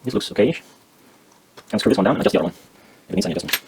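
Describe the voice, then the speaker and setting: Man, fairly low voice, casual DIY demonstration, halting delivery, thinking aloud while working. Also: animated, slight background noise. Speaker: DIY maker demonstrating a build. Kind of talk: casual hands-on workshop narration.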